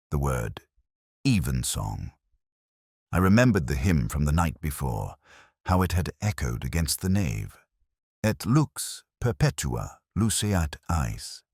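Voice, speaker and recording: A weary, cultured police inspector played by a man narrates a detective drama in an extremely low, husky voice. He is brooding and measured, with literary phrasing. The sound is close and dry. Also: very animated, a little mellow, no room sound, exaggerated voice. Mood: melancholy, pensive. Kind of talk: scripted first-person detective drama narration.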